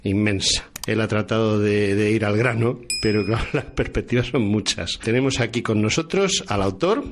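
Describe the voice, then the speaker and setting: A man with a Spanish accent from Spain hosting a radio interview in a long-winded, halting, conversational way. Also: lowish voice, a little hiss or hum. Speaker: radio interviewer presenting books.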